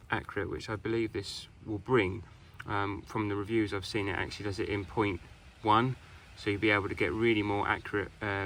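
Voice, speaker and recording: A British man giving a casual hands-on product review in an informal, halting way. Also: on the low side, fairly bright tone, slight background noise.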